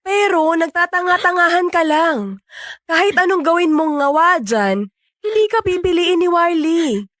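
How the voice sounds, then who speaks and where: A sassy young woman tells hard truths to her friend. Her voice is very high and cutting, emphatic and exasperated. It is recorded close and dry. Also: expressive, a little slow, fairly bright tone, no room sound, heavily acted. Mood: scolding. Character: blunt, scolding young female friend, exasperated truth-teller. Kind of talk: Tagalog radio drama acting.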